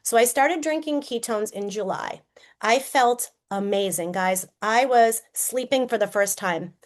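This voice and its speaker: A woman in her fifties with a Boston accent leads a live-streamed sales presentation. Her voice sits a little high. She talks to her viewers like friends, often tagging "right?" and asking them to drop a comment. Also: measured pace. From Boston area, USA. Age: 50s. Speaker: network marketing presenter.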